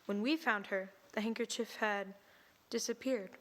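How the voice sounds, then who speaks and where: A woman's voice: mid-range voice, moderate pace, a bit bright, natural voice. An actress playing a worried woman of the household who speaks in a tense, strained way. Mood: anxious.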